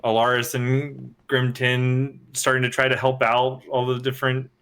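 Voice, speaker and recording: Male panelist, middle-pitched voice, loose and informal casual conversation. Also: completely flat delivery, moderate pace, fairly bright tone, clear, resonant tone. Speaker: podcast panelist. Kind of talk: casual chat.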